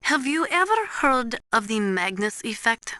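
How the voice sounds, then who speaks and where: A woman's voice: a little high, big pitch swings, measured pace, bright, forceful tone, no echo, clean studio sound, full character voice. A woman voicing a serious, knowledgeable military analyst in a video game, briefing in a precise, formal, instructive way. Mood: matter-of-fact.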